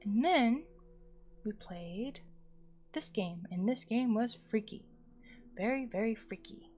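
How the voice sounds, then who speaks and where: A woman with a fairly high voice hosts a casual livestream with faint music behind her. Her talk is playful and expressive, wandering from one thought to the next, with a slightly sleepy feel. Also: takes it slowly. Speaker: live streamer, host. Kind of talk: casual chatty livestream sign-off.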